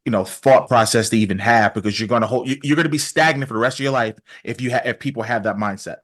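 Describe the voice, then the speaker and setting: A man's voice: medium pitch, fast, a bit bright. Man, forties, podcast host, earnest, encouraging, repeats himself, casual chat. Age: 40s.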